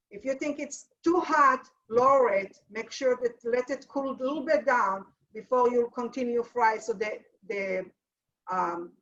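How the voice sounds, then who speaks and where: A middle-aged woman from Israel with an Israeli accent, demonstrating a recipe in a halting, practical way and searching for words. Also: fairly high pitch, fairly bright tone. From Israel, family from Tunisia. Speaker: cooking instructor. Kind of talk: live cooking demonstration. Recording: distant, dull lo-fi mic.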